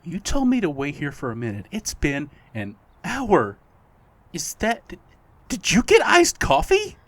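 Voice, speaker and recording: A man's voice: high voice, huge pitch swings, a little slow, fairly bright tone, dry recording, exaggerated voice. A man playing an exasperated, put-upon sidekick in an audio drama, indignant and dramatic. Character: exasperated, put-upon, wounded male would-be best friend.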